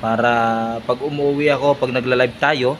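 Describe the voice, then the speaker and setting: A Filipino man talks in a casual vlogger style at a middle pitch. He repeats himself and checks in with short tag questions. There is some ambient noise behind him. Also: level tone, moderate pace. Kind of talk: casual vlog chat to followers.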